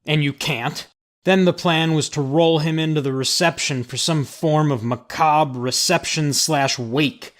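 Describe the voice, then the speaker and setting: Snide, highish-voiced lawyer, played by a man. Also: fairly even intonation, measured pace, natural voice. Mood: scornful. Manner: pompous, scornful. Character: snide, disapproving male lawyer. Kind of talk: audio drama dialogue.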